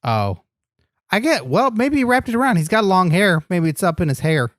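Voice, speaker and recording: A man's voice: sits high, normal speed, no echo, clean studio sound. A man doing a naive, earnest wrestler sidekick. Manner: naive, earnest, deadpan absurd arguments. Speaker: podcast co-host playing a wrestler persona. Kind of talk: improvised comedy podcast chat.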